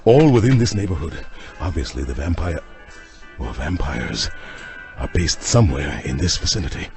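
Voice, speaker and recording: Deep, intense vampire hunter. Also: male voice, sing-song, normal speed, darkish tone, soft background music, exaggerated voice. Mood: intent, explaining. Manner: grave, urgent, melodramatic pronouncements. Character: obsessed intense male vampire hunter doctor. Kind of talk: audio drama acting.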